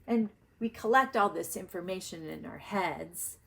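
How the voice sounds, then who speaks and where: Slow-paced woman teaching through a read-aloud. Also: on the low side, gravelly, fairly bright tone. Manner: deliberate, explanatory. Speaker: primary school reading teacher. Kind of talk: read-aloud lesson for young children, thinking aloud.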